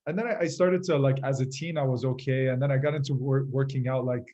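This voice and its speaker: A man from Iran who moved away as a child, telling his personal story casually as a podcast guest, in a halting, reflective way. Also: medium pitch, fairly even intonation, warm tone. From Iran, moved to Canada at eight. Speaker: podcast guest, fitness group leader.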